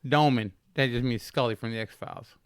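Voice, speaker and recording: A man's voice: medium pitch, fairly quick, clean tone, no echo, clean studio sound. A man in his late thirties joking crudely and laughing often in casual podcast banter. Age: 30s. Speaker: podcast co-host.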